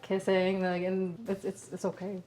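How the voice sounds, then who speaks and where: A woman's voice: medium pitch, completely flat delivery, moderate pace. A woman from Kazakhstan talking casually as a panelist in a group discussion.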